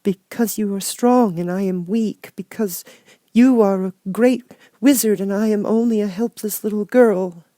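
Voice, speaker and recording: A man's voice: high voice, unhurried, mellow, no echo, clean studio sound, pitched above the performer's own voice. A man voicing a small, meek young girl in a storybook, timid and earnest in her pleading.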